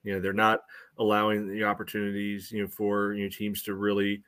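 A man co-hosting a sports podcast, giving casual analysis in measured, halting phrases. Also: fairly low pitch, monotone, a bit bright.

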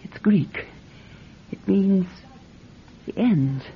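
A woman acting in a radio drama as an anxious, quick-witted grandmother with a husky, breathy voice. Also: mid-range voice, a little hiss or hum. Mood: urgent, probing. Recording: muffled old radio drama recording.

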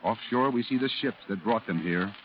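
An actor playing a solemn war correspondent, narrating a 1940s radio drama in a grave, declamatory way. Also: male voice, lowish voice, normal speed, slight background noise, natural voice. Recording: dull old radio recording with music.

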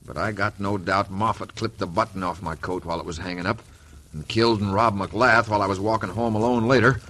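Low-voiced, hot-tempered wronged cowboy. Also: male voice, fairly even intonation, fairly quick, fairly bright tone, poor audio. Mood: bitter. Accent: American. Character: wronged, hot-tempered Western ex-convict husband. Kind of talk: old-time radio western acting.

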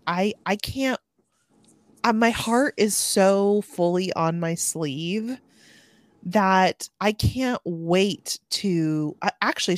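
Gal chatting books w a friend, lowish voice, acts out quotes, super dry recording. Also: female voice, no echo. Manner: chatty, animated storytelling with acted-out quotes. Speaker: book podcast co-host. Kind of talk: casual chat about a novel.

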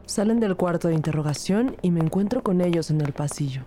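A woman's voice: fairly low pitch, brisk, warm tone, slight background noise, lightly acted. An actress playing an observant investigator, narrating her case in the first person in a wry, matter-of-fact way. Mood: wry narration.

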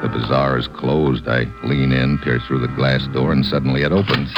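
Very deep-voiced hardboiled American investigator. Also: male voice, steady tone, normal speed, lo-fi sound. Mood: narrating, tense. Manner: terse, wry, informal. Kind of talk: 1950s radio noir acting, first-person narration. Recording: vintage radio broadcast, music behind.

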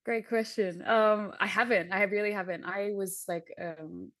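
A woman's voice: mid-range voice, fairly even intonation, measured pace, fairly bright tone, no echo. A young adult woman, a visionary artist, talking reflectively as a podcast guest, with light laughs and many "um" and "like" fillers. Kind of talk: casual conversation.

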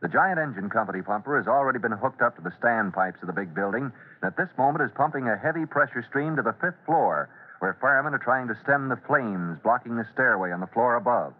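A man's voice: mid-range voice, animated, fast talker. A man narrating a 1940s-style radio serial as its announcer, dramatic and declamatory. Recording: tinny, noisy old radio broadcast.

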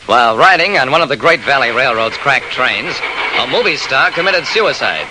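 An actor playing a brisk, methodical railroad detective who narrates his case in an emphatic old-time radio mystery style. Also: medium pitch, expressive, bright, forceful tone. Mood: matter-of-fact. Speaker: narrator and lead investigator. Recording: noisy old radio drama recording.

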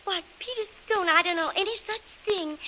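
A woman's voice: very high voice, normal speed, sharp tone, poor audio. An actress playing a scheming young woman in a 1930s radio serial, coy and theatrically indignant as she feigns innocence. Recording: hissy 1930s radio recording.

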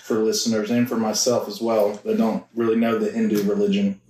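A man hosting a casual podcast interview, flat and relaxed, with lots of ums. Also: lowish voice, fairly quick. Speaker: podcast host. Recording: echoey room, mic held at a distance.

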